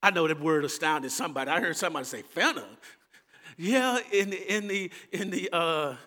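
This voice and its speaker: High, bright Texan man preaching. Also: normal speed, big-room sound. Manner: impassioned preaching, swinging between loud calls and quiet asides. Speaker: pastor. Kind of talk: sermon.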